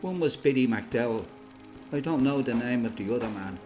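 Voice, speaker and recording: A man with an Irish accent playing a resolute, plain-spoken freedom fighter giving a matter-of-fact, measured first-person testimony in a radio play. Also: mid-range voice, expressive, a little mellow, faint music behind, natural voice. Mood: matter-of-fact recollection.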